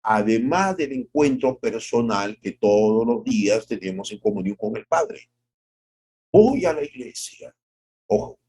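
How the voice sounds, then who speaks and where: Male pastor preaching, kinda low and dark voice, asks the crowd questions, goes soft then suddenly way up high. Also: expressive, husky. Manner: preaching with rhetorical questions and acted-out quotes; swings from soft, drawn-out phrases to sudden high exclamations. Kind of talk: devotional sermon.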